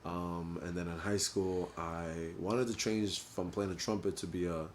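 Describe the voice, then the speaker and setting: A man with a Honduran family background, telling his story in a laid-back, low-key casual chat. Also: steady tone, moderate pace, a little hiss or hum. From Honduran family, grew up in the US. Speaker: DJ and producer, guest.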